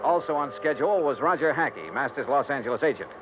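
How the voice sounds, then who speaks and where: Through a tinny vintage radio broadcast with music behind comes an actor as a hardboiled American male investigator. His pitch is a bit high and moves in lively swings. He is clipped, dry and wry, in classic 1950s radio style. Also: fast, lightly acted. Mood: dry narration. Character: brisk hardboiled male insurance investigator, dryly unimpressed. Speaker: lead actor, narrator. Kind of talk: 1950s radio detective drama acting and narration.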